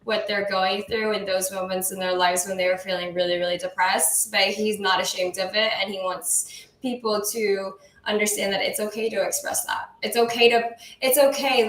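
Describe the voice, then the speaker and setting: Bright-voiced woman co-host, rambles through casual chat; roomy echo. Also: medium pitch. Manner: rambling, heavy on fillers.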